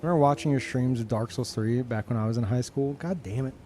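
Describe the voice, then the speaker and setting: A man's voice: mid-range voice, fast, dark tone, a little hiss or hum. A man in his thirties casually chatting and swearing as he reads out chat messages while streaming a game.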